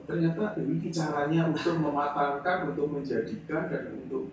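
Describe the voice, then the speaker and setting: A man's voice: on the high side, fairly even intonation, a little fast, slight background noise. A man hosting a casual interview who often murmurs agreement and adds reflective asides. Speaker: host. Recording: echoey room, distant mic.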